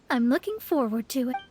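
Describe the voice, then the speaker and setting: Proud young astrologist in a game, high voice, formal and haughty, fancy words. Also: female voice, a little mellow, husky, no echo, full character voice. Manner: formal, haughty, lofty wording.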